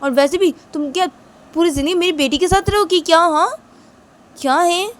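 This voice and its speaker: A woman's voice: medium pitch, normal speed, pitched below the performer's own voice, lightly acted. A woman voicing a wealthy mother who is a firm boss, questioning sharply and reassuring her employee. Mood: irritated. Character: strict wealthy mother, female boss, firm but kind.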